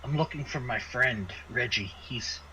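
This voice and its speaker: A man's voice: mid-range voice, highs cut off, noisy background, voice pushed down, close to an everyday voice. A man playing a stammering, scared teenage kid. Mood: scared, hesitant. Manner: halting, stammering. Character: frightened hesitant teenage kid in hiding.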